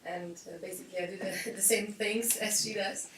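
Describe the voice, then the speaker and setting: A woman's voice: mid-range voice, fairly even intonation, a little fast, background noise. A woman introduces herself as head of a branch office, hesitant with fillers. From Slovenia. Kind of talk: self-introduction in a group. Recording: echoey room, far mic.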